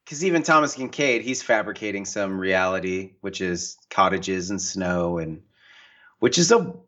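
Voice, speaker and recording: A low-pitched man from the US Northwest chats as an interview guest. He tells loose, animated stories full of "like" and "you know" and acts out the voices of people he quotes. The sound is muffled and lo-fi. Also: normal speed. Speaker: guest author and painter. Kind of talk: casual conversational interview.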